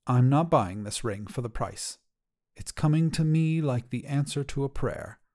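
Man voicing a tender elderly widow, mellow. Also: medium pitch, lively intonation, a little slow, close to an everyday voice. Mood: wistful, grateful. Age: older. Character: elderly widow, tender, reflective, resolute grandmother. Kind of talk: audio short-story dialogue.

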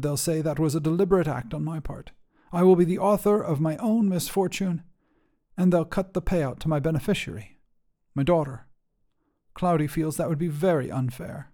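A man's voice: a little high, warm tone, voice pushed up, close to an everyday voice. A man voicing a weary, defiant middle-aged mother, drily matter-of-fact about her terminal illness, in a storybook reading. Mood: dry, wry.

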